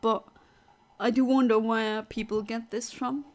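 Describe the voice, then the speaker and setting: A woman's voice: on the high side, level tone, unhurried. A woman from Europe, a live streamer, chatting casually and answering her viewers' comments aloud while she plays.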